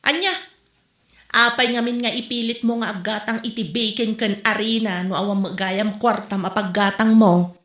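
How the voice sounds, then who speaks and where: Bright-voiced village housewife, played by a woman, Filipino accent. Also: mid-range voice, fairly even intonation, moderate pace, natural voice. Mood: refusing. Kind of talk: Ilocano comedy drama dialogue. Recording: echoey room recording.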